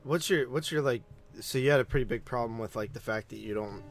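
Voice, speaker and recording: A man chatting informally and haltingly as co-host of a movie podcast. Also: mid-range voice, soft background music. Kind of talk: casual chat reviewing films.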